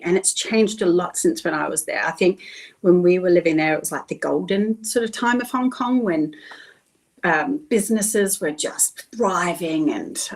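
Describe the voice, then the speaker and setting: A woman's voice: on the low side, husky. Enthusiastic woman, laughing often. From Australia. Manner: enthusiastic, laughs often, drawn-out emphasis on words. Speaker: guest. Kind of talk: casual interview conversation.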